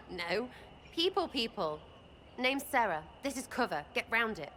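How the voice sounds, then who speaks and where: Brief: an irreverent woman archer, high-ish voice, mocking rambling banter. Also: very animated, a little slow, a bit bright, slight background noise.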